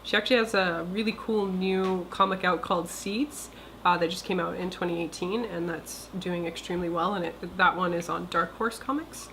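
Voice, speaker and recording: A woman's voice: lowish voice, fairly even intonation, normal speed, a bit bright, low-quality recording. A woman giving an informal spoken introduction as the presenter of a team project. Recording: faint ambient room noise.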